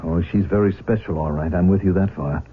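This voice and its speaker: A man's voice: low voice, fairly quick, lightly acted. An actor playing a protective, reasoning middle-aged father in a radio drama, earnest and firm. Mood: indignant, challenging. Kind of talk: 1970s radio drama acting. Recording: dull, noisy 1970s radio recording.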